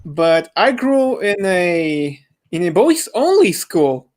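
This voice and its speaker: A man's voice: sits high, big pitch swings, slow pace, a little mellow. A young man talking casually as a member of a group podcast.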